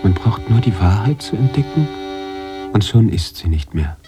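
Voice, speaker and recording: An actor playing an anguished, philosophical writer-narrator in a literary radio drama, halting and searching, slipping at times toward a whisper. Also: male voice, on the low side, animated, over music, close to an everyday voice. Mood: haunted, awed. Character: brooding male writer-narrator, anguished, philosophical, mourning his heroine.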